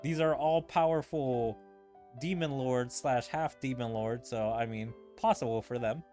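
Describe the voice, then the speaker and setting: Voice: medium pitch, unhurried, warm tone, faint music behind. A streamer giving casual, rambling and hesitant commentary while playing through a video game story. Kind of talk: casual gameplay commentary mixed with reading dialogue aloud.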